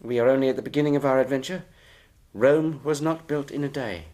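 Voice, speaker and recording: A man acting in a period radio drama, playing a hearty, persuasive company recruiter and smooth swindler, with a British accent and grandiloquent manner. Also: mid-range voice, brisk, a little mellow, natural voice. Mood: reassuring, businesslike. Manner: effusive, theatrical, grandiloquent.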